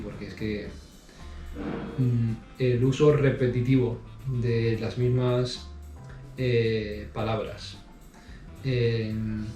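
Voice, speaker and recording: A man with a mellow, dark tone talks through his answers, pausing often and restarting his sentences. His voice has almost no rise and fall. He sits back from the microphone in an echoey room with faint music underneath. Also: mid-range voice, distant mic. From Spain. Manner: hesitant, many fillers and restarts. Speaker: interview guest. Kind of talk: casual interview answers. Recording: roomy sound from off mic.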